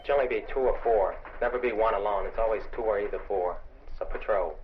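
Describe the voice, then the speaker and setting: Low-voiced army sergeant, dull noisy field tape. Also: male voice, quick pace. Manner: plain, matter-of-fact. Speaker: army sergeant, border observer. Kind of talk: interview answers. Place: observation tower.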